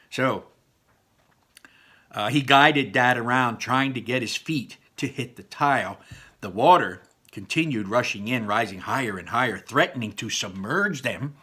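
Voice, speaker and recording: A man's voice: medium pitch, bright, forceful tone, husky. A man reading aloud to children as a storyteller, dramatic and emphatic, with simple explanatory asides.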